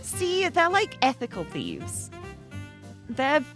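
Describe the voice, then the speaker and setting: Young woman streamer, bubbly and giggly, slightly croaky, very lively pitch. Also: takes it slowly, music in the background. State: slightly croaky, just woken up. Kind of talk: casual game-stream chat.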